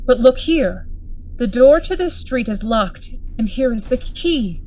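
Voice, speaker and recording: A woman's voice: fairly high pitch, expressive, a little slow, a little mellow, rough recording. A woman acting a grave, commanding Victorian friend investigating a horror in a gothic radio drama, formal and urgent in manner. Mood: grim, puzzled.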